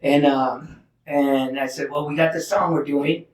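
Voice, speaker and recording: A chatty man tells stories in a relaxed interview, laughing often and thanking his hosts. His voice sits a little high for a man. He is caught from a distance in a roomy space. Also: measured pace. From Holland, Michigan. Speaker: guest musician. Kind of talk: casual interview storytelling. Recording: roomy sound, distant mic.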